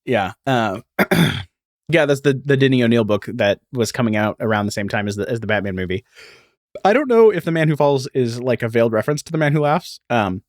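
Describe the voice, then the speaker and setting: Male history podcast host, mid-pitched, explanatory and conversational, given to asides, dry sound. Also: lively intonation, fairly quick, no room sound.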